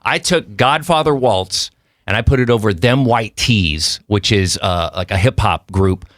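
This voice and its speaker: A man from Mexico hosting a podcast in a studio, chatty, animated and slangy in casual interview talk. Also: on the low side, a little slow, dry recording. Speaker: podcast host.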